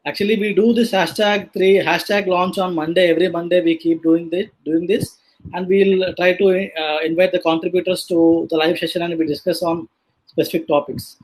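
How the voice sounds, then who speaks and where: Higher-voiced man hosting, hesitant and halting. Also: steady tone, a little mellow, low-quality recording. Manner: hesitant, halting delivery with many filler sounds. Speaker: host of a live online panel session. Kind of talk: casual live introduction of guests. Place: online live video session.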